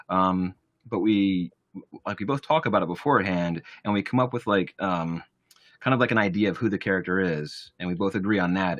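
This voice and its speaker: A man with a deep baritone voice, an audiobook narrator chatting casually on a live stream, relaxed and rambling with fillers. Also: dry recording. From Tampa area, Florida.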